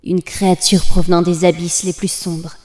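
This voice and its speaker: A woman with a fairly low voice narrates a dark tale. She is solemn and measured, her words trailing long reverb over prominent music. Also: flat delivery. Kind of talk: scripted dark storytelling narration.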